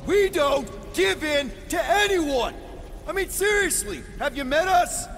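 An actor playing a brash, wisecracking young space outlaw hero in a video game, loud and defiant. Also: male voice, extremely high pitch, lively intonation, unhurried, slight background noise, exaggerated voice. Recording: loud music and battle effects behind.

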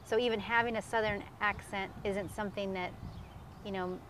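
A woman's voice: mid-range voice, fairly even intonation, normal speed, a bit bright, slight background noise. A middle-aged woman thinks aloud in an outdoor interview, often hedging with "I mean" as she works through an idea. Faint outdoor ambience sits behind her voice. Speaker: author interviewee. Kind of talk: thoughtful interview answers. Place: outdoors on a park lawn.

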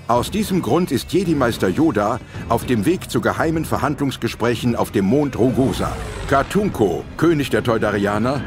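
A man narrating an audio drama in a dramatic scripted style. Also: medium pitch. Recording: music behind the voice. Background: prominent music.

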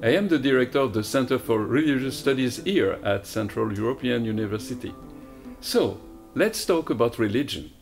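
A man's voice: mid-range voice, expressive, moderate pace, creaky, faint music behind. A man presents as program director, introducing guests and asking guiding questions. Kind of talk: presenting and moderating.

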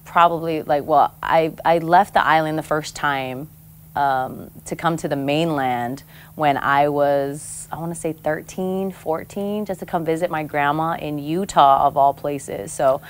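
A woman's voice: sits low, a little slow. A woman in her thirties from American Samoa, chatting as a guest in a studio interview, bubbly and laughing often. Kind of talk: casual interview chat. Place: studio set on a couch, indoors.

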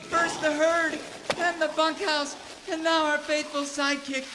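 An actor playing an earnest, melodramatic cowboy in a parody radio serial. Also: male voice, very high voice, level tone, unhurried. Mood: distraught. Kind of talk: parody of old-time radio serial acting. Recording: long reverb, noisy background.

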